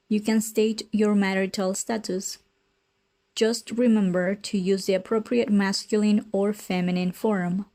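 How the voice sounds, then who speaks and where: A woman teaching a language lesson in a scripted, careful way, saying each example phrase and then repeating it more slowly. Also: mid-range voice, warm tone.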